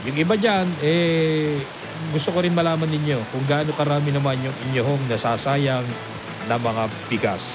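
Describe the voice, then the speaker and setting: Filipino radio host, man with higher-pitched voice. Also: moderate pace. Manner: conversational, polite address to listeners. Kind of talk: live radio talk, addressing listeners. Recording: dull AM radio reception, music behind. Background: music.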